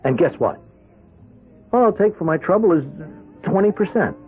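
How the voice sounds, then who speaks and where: Dull old radio play, lively swooping male voice, young American small-time crook, cocky, pushy, wheedling, slangy. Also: high voice, normal speed. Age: young adult. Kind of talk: radio drama acting. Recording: band-limited radio drama recording with music.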